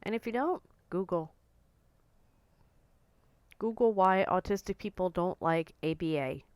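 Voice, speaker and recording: Blunt, slightly croaky woman in her forties. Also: on the low side, a little slow, darkish tone, no echo. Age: 40s. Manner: blunt and outspoken. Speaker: vlogger. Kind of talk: casual monologue to camera.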